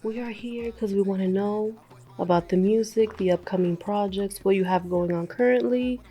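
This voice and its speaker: Woman host, warm mellow voice, teasing and dry in casual interview banter. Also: medium pitch, moderate pace. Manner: teasing, dry short replies.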